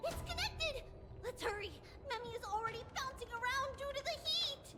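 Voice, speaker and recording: A woman voicing a young, excitable, childlike girl companion in a video game, with music behind her. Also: very high-pitched, moderate pace, long reverb tail, exaggerated voice. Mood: excited, urgent.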